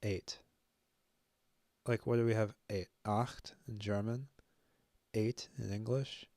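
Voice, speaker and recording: Slow, gravelly man thinking aloud. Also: on the low side, level tone, a little mellow, no echo, clean studio sound. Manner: musing, self-deprecating, halting with many fillers. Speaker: language-learning video host. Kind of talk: thinking aloud while self-studying.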